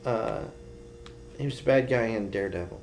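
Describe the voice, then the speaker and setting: A man's voice: on the low side, moderate pace, vocal fry, darkish tone, slight background noise. A man co-hosting a podcast, chatting casually about pop culture in a blunt, opinionated way. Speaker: podcast co-host.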